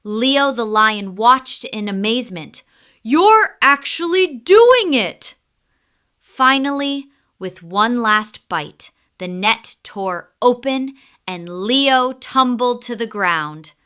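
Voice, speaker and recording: A woman storyteller reading a scripted children's story in an expressive, deliberate way. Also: a little high, clean tone.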